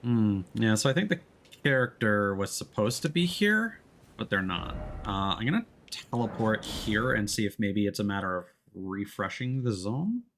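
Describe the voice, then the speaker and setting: A man's voice: on the low side, big pitch swings, normal speed, a bit bright. A man giving casual let's play commentary as a game streamer, musing and thinking aloud. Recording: clear background noise behind voice.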